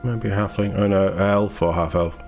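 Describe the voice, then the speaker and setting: Male gaming news host, low voice, casual and enthusiastic read-through with commentary, music underneath. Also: fairly even intonation, normal speed, a little mellow. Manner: chatty, informal, enthusiastic. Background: background music.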